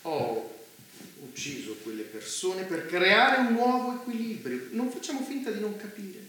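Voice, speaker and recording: A man's voice: sits high, sing-song, measured pace, pushed and bright, exaggerated voice. An actor playing a cynical, megalomaniac man of power in a theatrical dystopian drama, speaking in a pompous, emphatic, grandiloquent way. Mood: cold, self-justifying. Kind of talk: theatrical stage drama dialogue in dystopian play. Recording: very echoey room, faint noise.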